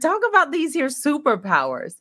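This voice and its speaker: A woman's voice: high-pitched, wildly swooping pitch, normal speed, pushed and bright. A woman hosting a podcast interview, playful and teasing, joking with her guest. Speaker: podcast host. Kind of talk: casual interview chat.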